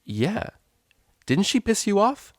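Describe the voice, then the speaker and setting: A man's voice: high voice, wildly swooping pitch, no room sound, clean studio sound, voice pushed up, exaggerated voice. A man voicing a brash, scheming young unicorn mare with a slangy, pushy way of talking in a storybook reading. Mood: pushy, faltering. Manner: slangy, pushy, hesitant pauses.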